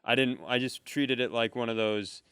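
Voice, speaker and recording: A man's voice: medium pitch, fairly even intonation, normal speed, fairly bright tone, smooth, dry recording. A man hosting a comedy podcast, telling personal stories in casual conversation.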